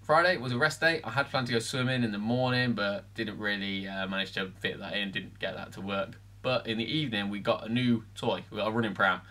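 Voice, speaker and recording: Quick, bright-voiced man. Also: fairly low pitch, steady tone. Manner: chatty, matter-of-fact, filler-heavy. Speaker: vlogger. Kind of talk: casual training vlog. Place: home room, voice-over.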